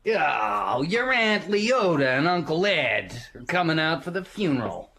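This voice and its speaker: A man's voice: fairly high pitch, sing-song, measured pace, a crowd in the background. An actor playing a shifty, offhand middle-aged father in a radio drama. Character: older, shifty, breezy father of a young boy.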